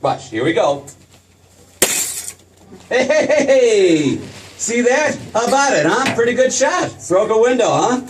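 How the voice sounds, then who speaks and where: An actor playing an eager young small-town dreamer in a radio drama, stammering and excitable, with a folksy manner. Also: male voice, very high-pitched, measured pace, pauses often, exaggerated voice. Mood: excited, dreamy. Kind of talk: old-time radio drama stage acting. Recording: noisy stage recording from far off.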